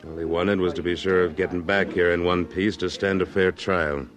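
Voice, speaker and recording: Man playing a deep-voiced laconic Old West cattleman. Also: normal speed, faint music behind, close to an everyday voice. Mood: dry, firm. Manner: laconic, deadpan, unbending. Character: tough, dry, independent cattleman of the Old West. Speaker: lead actor and narrator. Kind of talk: 1950s radio western acting. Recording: vintage radio drama recording.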